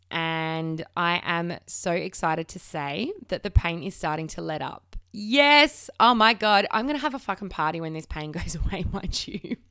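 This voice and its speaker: A woman's voice: fairly low pitch, fairly bright tone, clear, resonant tone, no room sound, studio quality. A woman in her thirties hosting her own podcast, talking frankly and openly with casual swearing and frequent restarts, while she has a slight cold. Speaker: podcast host. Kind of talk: candid solo podcast chat.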